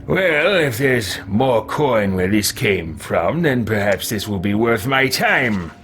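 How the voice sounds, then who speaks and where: A man voicing a jaded, prideful cynic who disdains everyone, in a slow and deliberate roleplay voice for a video game. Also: on the low side, big pitch swings, fairly bright tone, soft background music, voice pushed down, full character voice.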